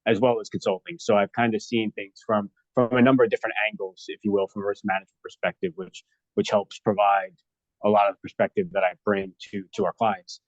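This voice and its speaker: A man's voice: fairly low pitch, level tone, a little fast, no echo. A man explaining his field conversationally as an expert guest in an interview. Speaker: guest, risk consultant and former regulator. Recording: dull, band-limited call audio.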